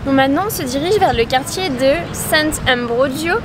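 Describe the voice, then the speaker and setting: A woman's voice: high-pitched, moderate pace, noisy background. A young woman chatting casually in a travel vlog while walking through city streets, with lots of hesitations. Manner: chatty, many fillers and repeats. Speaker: travel vlogger. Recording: music playing loudly behind.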